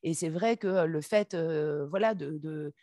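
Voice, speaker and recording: Hesitant woman speaker with a mellow voice. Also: low voice, unhurried, dry recording, clean studio sound. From Paris, France. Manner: hesitant, many filler "euh" and restarts. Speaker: essayist and conference speaker. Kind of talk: conference talk. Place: conference room, seated at a table. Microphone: table microphone on a stand.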